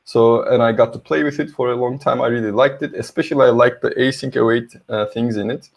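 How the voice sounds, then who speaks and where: A man's voice: medium pitch, steady tone, fairly quick, creaky. A middle-aged man with a Turkish accent giving an online tech talk as a university lecturer, friendly and hesitant with many fillers. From Turkey. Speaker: conference speaker, university lecturer. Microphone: video call.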